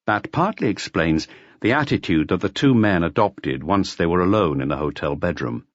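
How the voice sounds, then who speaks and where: A man narrating a literary audiobook in a measured, expressive storytelling manner. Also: sits low, dry recording, muffled recording. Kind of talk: scripted literary narration of a detective novel.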